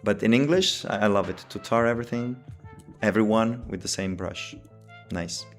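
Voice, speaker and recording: A man's voice: lowish voice, normal speed, soft background music. A tired man with an Italian accent, Italian his first language, thinking aloud as he plays chess on a live stream, full of "you know" and "like". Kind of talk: casual live-stream chat while playing.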